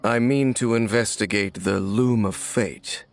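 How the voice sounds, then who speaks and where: An actor playing a stern, world-weary ancient warrior in a video game, grave, measured and formal, with a gravelly voice. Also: male voice, steady tone, faint music behind. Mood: brooding, reflective. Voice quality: low, gravelly.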